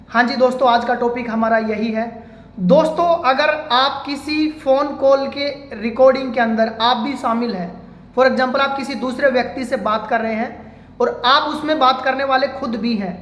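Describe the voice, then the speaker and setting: A man's voice: extremely high pitch, fairly bright tone. A man speaking as an advocate, giving an informal legal explainer step by step and addressing viewers as friends. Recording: echoey room with long reverb.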